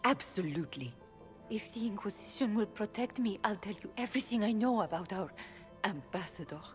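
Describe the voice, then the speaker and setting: A woman's voice: medium pitch, animated, brisk, soft background music, lightly acted. A woman playing a wary, bitter elven servant, guarded and resentful, in a fantasy video game.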